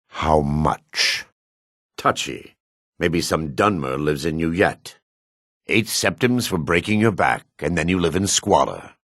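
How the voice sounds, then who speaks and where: An actor playing a jaded, sardonic male dark elf in a fantasy video game, with a husky voice. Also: extremely low pitch, dry recording, clean studio sound, heavily acted. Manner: sardonic, disdainful. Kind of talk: video game character voice acting.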